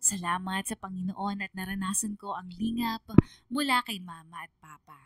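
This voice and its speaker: A woman's voice: medium pitch, steady tone, normal speed, over loud music, lightly acted. A woman playing a sentimental young Filipino daughter who narrates her letter in a heartfelt, confiding way for a radio drama. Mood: grateful, tender.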